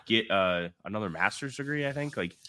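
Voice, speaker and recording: Dude hosting a podcast, low voice but kinda bright, relaxed, lots of ums. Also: male voice, a little fast. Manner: laid-back, loose, with frequent fillers. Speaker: podcast host. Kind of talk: casual chat.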